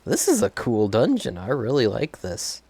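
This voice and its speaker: A man's voice: mid-range voice, wildly swooping pitch, normal speed, dark tone, slightly rough, dry recording. A man doing casual gameplay commentary, thinking aloud and chuckling often as he streams a video game.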